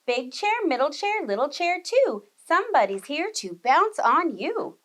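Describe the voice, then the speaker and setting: Casting: woman to play a bold, bouncy storybook little girl, high and swooping, chanting her lines. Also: big pitch swings, a little slow, a bit bright, heavily acted. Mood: playful, bouncy. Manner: sing-song chanting, exclamatory. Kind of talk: children's storybook read-aloud.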